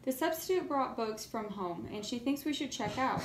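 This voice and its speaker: A woman's voice: a little high, animated, normal speed, close to an everyday voice. Earnest schoolchild, read by a woman, echoey room. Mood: indignant. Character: earnest, indignant young schoolchild writing letters. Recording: echoey room, faint background noise.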